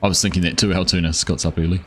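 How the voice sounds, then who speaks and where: A man's voice: deep voice, racing, breathy edge. A man with a New Zealand accent, chatting casually with viewers while streaming a farming game. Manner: relaxed, rambling, chats with viewers. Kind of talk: casual gameplay livestream chat.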